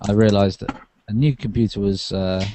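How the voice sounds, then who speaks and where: A man with a soft, mellow tone co-hosts a casual tech podcast. He talks in a hesitant way, working out his thoughts as he goes. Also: on the low side, fairly even intonation, normal speed, slight background noise. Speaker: podcast co-host.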